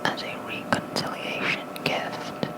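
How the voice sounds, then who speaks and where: ASMR host for sleep content: fully whispered, unhurried reading, soft and casual, close on the mic. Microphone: close fluffy-covered microphone.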